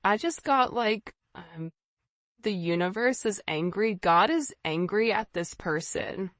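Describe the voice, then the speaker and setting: A woman's voice: medium pitch, expressive, measured pace, a bit bright, no echo, dull audio. A woman giving an informal tarot reading straight to her viewers, speaking emphatically and conversationally with rhetorical questions. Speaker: tarot reader, channel host.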